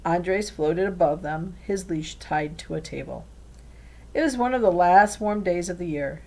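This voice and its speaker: A woman reading a children's storybook aloud in an expressive way. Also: on the low side, measured pace, poor audio. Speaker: read-aloud reader.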